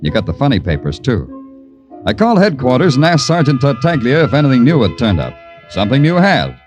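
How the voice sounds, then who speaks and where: An actor playing a wry, world-weary American police detective in an old radio crime drama, deadpan and sardonic. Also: male voice, mid-range voice, fairly quick, faint music behind. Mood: grim narration. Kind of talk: 1940s-50s radio crime drama acting and narration.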